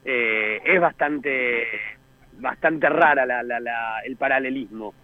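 A man's voice: mid-range voice, takes it slowly, no echo. A male journalist, speaking by phone in an interview and hesitating often with fillers and restarts. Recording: tinny phone line.

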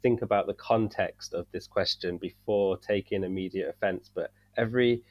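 A man from the UK, a podcast guest, talking in an earnest, measured way and searching for words at times. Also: sits low, steady tone, darkish tone, no echo. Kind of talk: casual discussion. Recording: dull dry sound.

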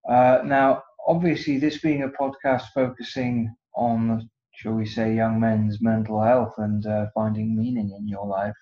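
A man's voice: fairly low pitch, little pitch movement, a little slow. A man hosting a podcast, asking questions politely with frequent uhs. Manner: polite, hesitant with fillers. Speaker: podcast host. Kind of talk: podcast interview. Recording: dull lo-fi sound.

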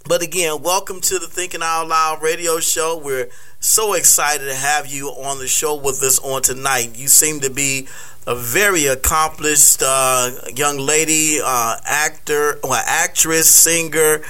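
A man hosting a radio show, interviewing a guest in a slow, halting way with many fillers. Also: on the high side, steady tone, sharp tone, smooth.

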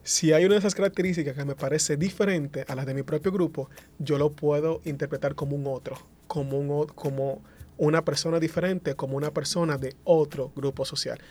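A man's voice: on the high side, fairly even intonation, a little fast, a little mellow. A man on a panel explaining a point about language and identity in a lecturing way, with fillers and restarts. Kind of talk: explanatory academic talk.

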